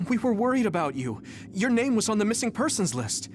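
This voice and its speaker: An actor voicing an earnest, worried young male squad leader in an anime video game, with loud music behind him. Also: sits high, sing-song, brisk, background noise.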